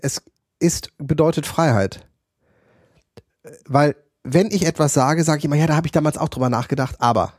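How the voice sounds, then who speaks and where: Man, podcast co-host, fairly high voice, reflective, short interjections, dry sound. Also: normal speed, pauses often, a little mellow, husky, dry recording. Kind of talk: casual chat.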